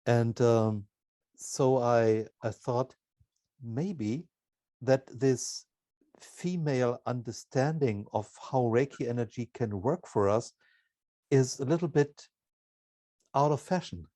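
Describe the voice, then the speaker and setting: A man with a mellow, medium-pitched voice speaks very slowly in a dry, close sound. He interviews reflectively, setting out his thoughts in short, halting phrases. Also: no room sound.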